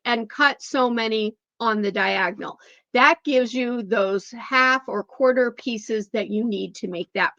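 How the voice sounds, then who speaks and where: A woman's voice: on the high side, fairly bright tone, no echo. A middle-aged woman teaches quilting in a chatty, digressive way, her voice a little scratchy. The sound is dull and lo-fi, with a dry, close feel. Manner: chatty, digressive, self-correcting. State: slightly scratchy voice. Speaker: quilting instructor. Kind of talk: chatty sewing tutorial.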